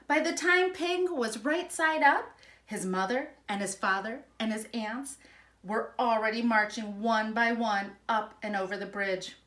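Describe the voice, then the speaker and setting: A woman reading a children's storybook aloud in an expressive, emphatic storytelling manner. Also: fairly high pitch, unhurried. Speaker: school principal reading aloud.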